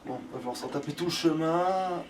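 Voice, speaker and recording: A higher-voiced man comments casually on the game he is playing. He grumbles and swears freely. He sounds set back from the microphone, with clear noise around him. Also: moderate pace. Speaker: let's play gamer. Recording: noisy room, distant microphone.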